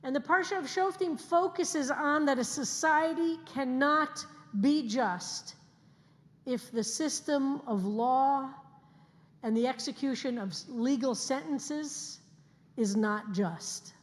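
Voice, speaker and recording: A woman in her sixties, a rabbi, giving an earnest sermon to her congregation and slowing down to stress key phrases. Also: high-pitched. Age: 60s. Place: synagogue sanctuary, livestreamed service. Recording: long hall reverb.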